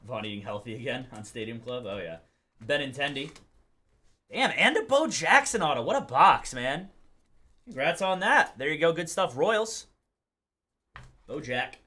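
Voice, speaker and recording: Bright-voiced young man hyping card pulls. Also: on the high side, animated, normal speed, pauses often. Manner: upbeat, hyped calls of pulls, joking banter. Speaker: card break host. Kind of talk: live stream card break commentary. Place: indoor card break studio.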